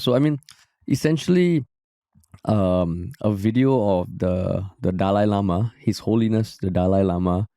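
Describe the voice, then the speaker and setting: A man in his thirties with a Singaporean accent, hosting a casual podcast chat, his voice husky and hoarse after losing it. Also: lowish voice, warm tone, no echo, clean studio sound. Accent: Singaporean English. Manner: teasing, swears casually. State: hoarse, recovering voice. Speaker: podcast host.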